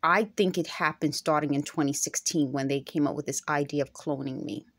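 A woman's voice: deep voice, steady tone, brisk, fairly bright tone, breathy edge. A middle-aged woman talking straight to her phone camera at home, earnest and insistent, returning again and again to her points. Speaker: vlogger. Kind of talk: direct-to-camera monologue. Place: indoor room at home. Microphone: phone camera held close.

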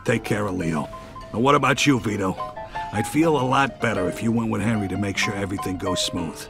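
An actor playing a middle-aged American mob underboss, friendly but calculating, with casual streetwise tough talk in a crime game. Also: male voice, on the low side, a little fast, creaky, music in the background. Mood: scheming. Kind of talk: cinematic crime game dialogue.

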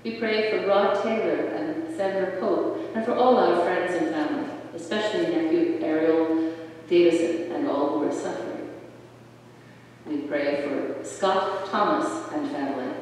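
A lay reader leading prayers of intercession in a church service, reading slowly with slips and self-corrections. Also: mid-range voice, darkish tone. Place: large church with stone walls. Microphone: distant room mic. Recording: echoey church from far off.

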